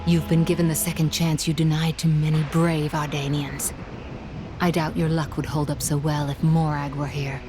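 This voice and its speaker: A woman's voice: deep voice, level tone, moderate pace, music in the background, lightly acted. An actress playing a confident, sardonic woman warrior in a video game cutscene. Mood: cool, stern.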